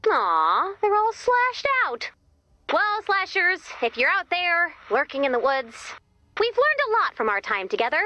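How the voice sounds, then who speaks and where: An actress playing an exasperated, peppy young team leader in a video game, with a wry, anxious, chatty manner. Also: female voice, very high-pitched, huge pitch swings, a little slow, pushed and bright, no echo, highs cut off, full character voice. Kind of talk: scripted video game voice acting.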